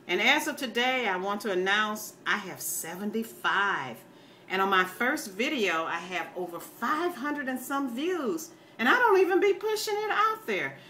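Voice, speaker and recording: An older American woman talks casually to her online viewers. Her voice is bright and forceful, and her manner is folksy, opinionated and plain-spoken. Some background noise sits behind her. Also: mid-range voice, sing-song, unhurried. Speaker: video blogger. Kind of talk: casual rambling chat to viewers.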